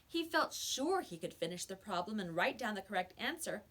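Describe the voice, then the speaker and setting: A woman narrates a children's storybook expressively. Her voice is bright and forward, with lively rises and falls in pitch. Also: normal speed. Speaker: storybook reader.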